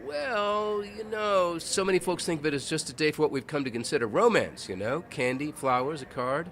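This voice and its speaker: An actor playing a genial old husband who reminisces in a folksy, animated way in a family audio drama. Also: male voice, a little high, normal speed, slight background noise. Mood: fond reminiscing. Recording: music under the voice.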